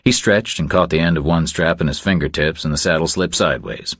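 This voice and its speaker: A man's voice: extremely low pitch, fairly quick, fairly bright tone, breathy edge, no room sound, studio quality. A man reading a thriller audiobook as a scripted narration.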